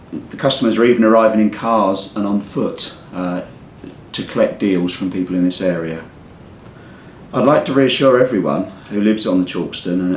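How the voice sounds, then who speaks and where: A hoarse man with a croaky voice from a cold, a local police commander giving an earnest off-the-cuff community update. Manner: plain, earnest, repeats words while speaking off the cuff. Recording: echoey room with faint rumble.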